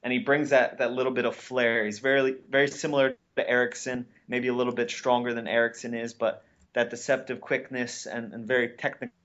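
A man with a flat, even delivery analyses sport casually on a podcast. His voice sits at a middle pitch and sounds a little dull from the lo-fi recording. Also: brisk. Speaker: podcast panellist.

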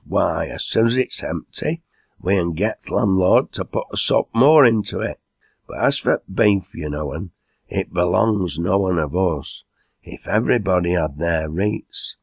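A man's voice: sits low, expressive, unhurried, vocal fry, slightly rough, no room sound, clean studio sound, heavily acted. A man voicing a reluctant, easygoing old fellow with a Lancashire accent, rambling through homely speeches in a storybook.

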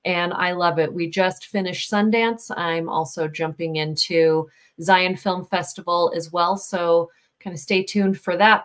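A woman's voice: lowish voice, fairly bright tone. Upbeat woman hosting on a headset. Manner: upbeat, chatty. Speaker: host. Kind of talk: casual online interview. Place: home room with posters. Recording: video call.

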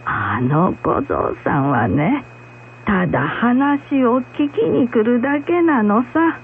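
A woman playing a kindly, frank old blind acupuncturist in a radio drama, gently admonishing. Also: fairly high pitch, sing-song, takes it slowly, full character voice. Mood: reminiscing. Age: older. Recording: muffled radio recording with hum, faint noise.

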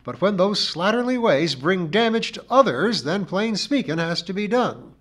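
A man's voice: sits high, sing-song, moderate pace, voice pushed up. A man voicing a belligerent, self-pitying village cleaning woman with a rural English accent, declaiming her grievances in a storybook reading. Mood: indignant. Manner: declamatory, rhythmic, dropped g's, indignant.

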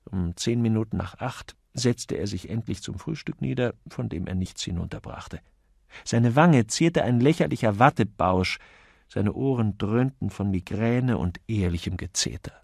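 Gravelly male audiobook narrator. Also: on the low side, a little mellow, no echo, clean studio sound. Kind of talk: scripted literary narration.

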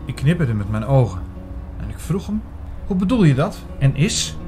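A man's voice: mid-range voice, sing-song, moderate pace, over music, natural voice. A man playing a learned, sceptical narrator in a Dutch audio drama. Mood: puzzled. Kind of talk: first-person audio drama narration and dialogue.

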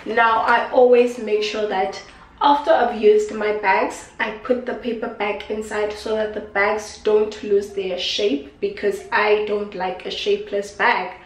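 A woman's voice: medium pitch, fairly even intonation, a little slow, far from the mic. Young female beauty vlogger, stuffy nose, chatty and affectionate; recorded off-mic in an echoey kitchen. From South Africa. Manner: chatty, affectionate, repeats herself. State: congested. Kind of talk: casual unboxing talk to camera. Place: small indoor kitchen.

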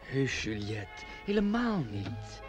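An actor playing an earnest young Parisian suitor on holiday in a radio play, with music behind him. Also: male voice, mid-range voice, very animated, slow pace, muffled recording. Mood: earnest, tender. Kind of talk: radio drama acting.

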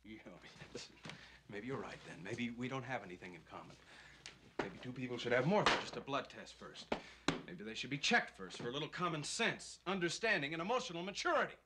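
An actor playing a practical young lawyer husband in a romantic comedy, dry, sarcastic and easily exasperated. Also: male voice, mid-range voice, big pitch swings, a little fast, heavy background noise, close to an everyday voice. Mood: irritated. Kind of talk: theatrical romantic comedy acting.

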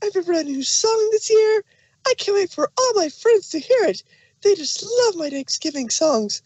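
A woman's voice: extremely high pitch, very animated, normal speed, darkish tone, no room sound, poor audio. A woman voicing a hyper, chirpy, relentlessly cheerful young female pony in a fan audio drama, bubbly and pushy. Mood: bubbly, excited.

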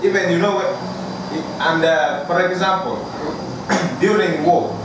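A young man from northern Uganda with a northern Ugandan accent, recalling his life in interview answers, haltingly and often repeating phrases. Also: high-pitched. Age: young adult. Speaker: interviewee, athlete. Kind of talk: interview answers, personal recollection. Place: small bare room indoors. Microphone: camera mic a few feet away. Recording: very echoey room, distant mic, clear background noise.

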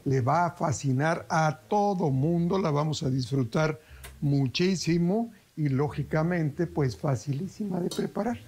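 Folksy TV cooking host. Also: male voice, on the high side, unhurried. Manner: warm, folksy, addresses viewers as family. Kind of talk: TV cooking demonstration. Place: TV kitchen studio set.

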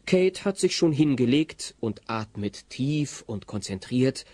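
A man narrating a German radio play as a scripted storyteller, with an expressive, wry delivery. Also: mid-range voice, slow, dry recording.

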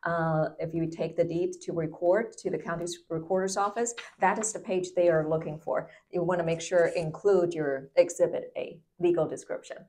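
Female host, middle-aged. Lowish voice, halting phrasing, informal question-and-comment delivery. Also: steady tone, dark tone. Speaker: host, real estate agent. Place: small office meeting room.

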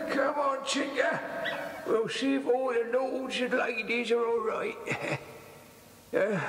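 An actor playing a simple, spiteful old kennel keeper with rustic dialect, muttering and cackling in a children's audio drama. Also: male voice, very high-pitched, slight background noise, exaggerated voice. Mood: mocking, chuckling. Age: older. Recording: echoey cellar reverb on old cassette.

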